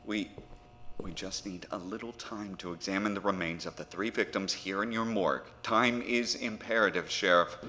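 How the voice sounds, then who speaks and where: A man acts out a diplomatic federal agent in a horror audio drama. His low, bright-edged voice is polite, formal and earnest. It carries long reverb with faint noise behind. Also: normal speed. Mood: diplomatic, insistent.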